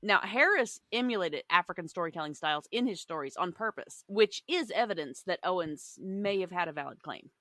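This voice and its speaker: A woman's voice: lowish voice, expressive, a little fast, few pauses, bright, forceful tone, no echo. Woman co-hosting, close and dry sound. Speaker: podcast co-host. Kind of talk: podcast discussion mixing prepared notes with casual chat.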